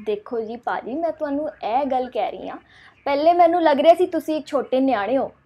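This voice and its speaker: A woman's voice: sits high, animated, brisk, warm tone. A woman, playing an indignant, scolding mother on a prank phone call. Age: 20s. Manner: scolding, indignant, talking over the other person. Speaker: prank caller. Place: small curtained room indoors. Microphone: clip-on lapel mic.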